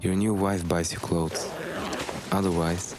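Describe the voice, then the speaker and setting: A man voicing a weary, reproachful grown son in an animated film, speaking in a plain, measured, reflective way. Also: low voice, background noise. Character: grown son bitterly addressing his father, weary and reproachful. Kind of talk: scripted animated documentary narration.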